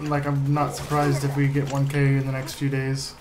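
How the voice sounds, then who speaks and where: A man's voice: medium pitch, monotone, normal speed. A young man hosting a game stream in casual chat, with a downbeat, self-deprecating manner. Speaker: live streamer, host. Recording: noisy room, typing in background.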